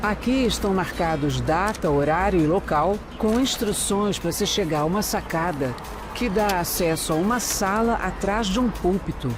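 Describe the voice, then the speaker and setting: A Brazilian woman playing a composed, analytical detective who narrates her case in an audio drama with measured, deliberate delivery. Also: medium pitch, noisy background, natural voice. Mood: focused, wary. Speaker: lead actress and narrator. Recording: loud music and street sounds behind. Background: music, urban street ambience with vehicles.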